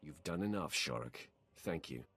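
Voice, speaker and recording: A confident dark warrior from a video game. His voice is deep and husky, with lively rises and falls. He sounds fearless, cool and menacing. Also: moderate pace, full character voice. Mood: resolute, calm. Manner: cool, self-assured, menacing.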